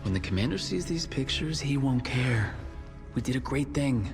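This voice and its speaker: An actor playing a cocky, self-serving young First World War pilot with a glib way of talking, in a game cutscene. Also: male voice, medium pitch, expressive, normal speed, natural voice. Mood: cocky, coaxing. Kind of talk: dramatic game cutscene acting. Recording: music behind the voice.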